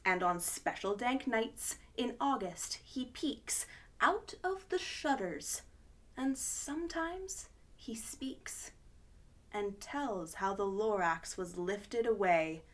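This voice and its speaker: A woman's voice: medium pitch, sing-song, slow pace. A woman hosting a children's storytime, reading a picture book aloud expressively with gasps of wonder.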